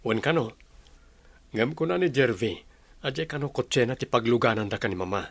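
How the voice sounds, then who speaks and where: A man's voice: mid-range voice, expressive, brisk, gravelly, no echo. A man with an Ilocano Filipino accent playing a practical husband and father in a radio drama. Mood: matter-of-fact. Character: practical husband and father discussing family travel.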